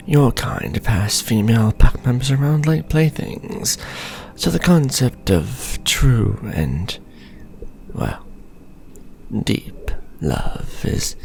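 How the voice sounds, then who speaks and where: A man's voice: mid-range voice, gravelly, darkish tone, heavily acted. Man as a haughty, theatrically swooping vampire lord. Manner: pompous, theatrical, drawn-out formal phrasing. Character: haughty, possessive aristocratic vampire lord, silkily condescending. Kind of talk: fantasy audio roleplay acting.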